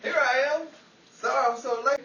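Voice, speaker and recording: A man's voice: very high voice, normal speed, full character voice. A man playing a flighty, dim, cheerful singer in an old-time radio comedy. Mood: breezy, flustered. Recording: dull, echoey, distant mic, loud noise.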